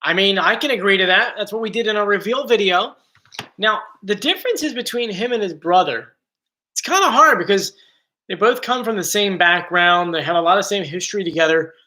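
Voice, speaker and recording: Bright-voiced joking male stream host. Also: sits high, animated, fairly quick. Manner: chatty, joking, easily sidetracked. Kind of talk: casual live stream chat. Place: home, bedroom area. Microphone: computer mic.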